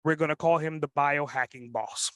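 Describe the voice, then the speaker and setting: A man hosting an interview show introduces his guest eagerly, with loose phrasing and plenty of "like" fillers. His voice sits a little high and sounds dry and close, with no room around it. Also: normal speed, a bit bright, dry recording. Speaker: podcast host.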